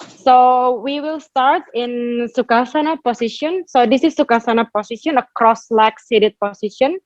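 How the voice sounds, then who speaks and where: A woman's voice: fairly high pitch, normal speed, no room sound. A woman, instructing an online yoga class in a reassuring, encouraging manner. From Indonesia. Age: 20s. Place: large empty sports hall. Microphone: video call, laptop a few feet away. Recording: muffled video call audio.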